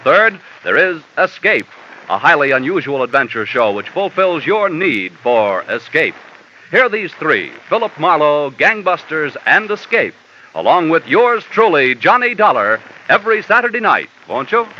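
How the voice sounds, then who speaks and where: A man reading a formal, smooth announcement as a radio announcer. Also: fairly high pitch, big pitch swings, normal speed, bright, forceful tone. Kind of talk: scripted announcement. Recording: dull old radio broadcast, light hiss.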